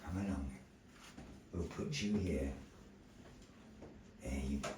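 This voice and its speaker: A man's voice: very deep voice, takes it slowly, darkish tone, slight background noise. A man narrating a live puppet show in a theatrical, playful way, chatting with the audience between parts of the story. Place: gallery venue, live show. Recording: echoey room, mic at a distance.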